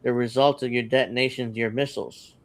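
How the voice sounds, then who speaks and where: A man's voice: medium pitch, steady tone, fairly quick. A man hosting a casual panel discussion, speaking loosely with fillers and restarts. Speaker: show host.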